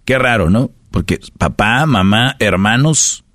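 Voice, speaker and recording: A man's voice: fairly low pitch, lively intonation, a little slow, a bit bright, dry recording, clean studio sound. A Mexican man hosting a radio show, giving playful, joking dating advice and acting out little dialogues.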